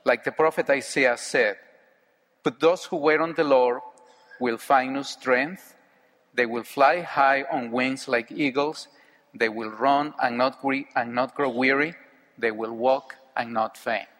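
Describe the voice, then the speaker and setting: Middle-aged mexican guy, spanish accent, earnest measured testimony talk, long hall reverb. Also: medium pitch, fairly bright tone. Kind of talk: personal testimony speech.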